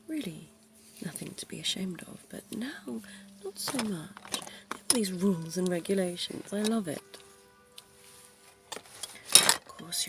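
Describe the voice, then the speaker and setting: Woman playing a sinister, genteel old vampire dentist: low, gravelly, slow whisper over music. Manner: soft whispering, posh and coaxing. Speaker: ASMR roleplay performer. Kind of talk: ASMR roleplay.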